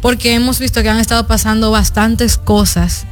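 A woman's voice: a little high, steady tone, normal speed, faint music behind. A woman in her twenties with a Dominican Spanish accent, speaking earnestly and reflectively as an interview guest, hesitating between phrases. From Dominican Republic. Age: 20s. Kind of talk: conversational radio interview.

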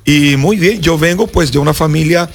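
A man's voice: a little high, normal speed, music in the background. A Brazilian man, a pastor and native Portuguese speaker, giving an earnest personal testimony in Spanish as a guest on a radio interview. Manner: earnest storyteller, acts out voices in his story.

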